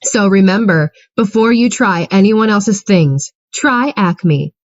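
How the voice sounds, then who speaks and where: A woman announcer reading a parody commercial in a smooth sales-pitch manner. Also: animated, slow, dry recording, muffled recording. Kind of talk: mock commercial read.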